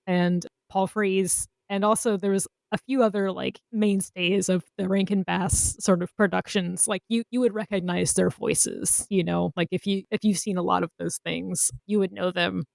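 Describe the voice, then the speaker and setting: A woman's voice: lowish voice, fairly even intonation, unhurried, vocal fry, hardly any pauses, a little mellow, no echo. Enthusiastic woman chatting, dry close sound. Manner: enthusiastic, gushing. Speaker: podcast co-host. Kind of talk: casual panel chat.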